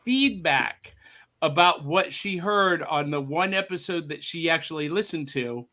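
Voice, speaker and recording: Man host, high and bright voice, teasing banter, casual. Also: expressive, unhurried. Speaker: podcast host. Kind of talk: casual chat.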